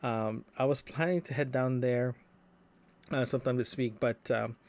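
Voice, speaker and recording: A man recording a casual, unscripted audio diary as a podcaster, talking in a rambling, halting way with trailing asides. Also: medium pitch, fairly even intonation, darkish tone.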